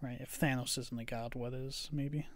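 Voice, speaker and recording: A relaxed podcast co-host talking through a film in an easygoing back-and-forth, often hedging and trailing off. The voice sits at an ordinary middle pitch. It is recorded close and dry, with no room sound. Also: moderate pace, no room sound. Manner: hedging, trails off with "I don't know". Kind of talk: casual film review chat.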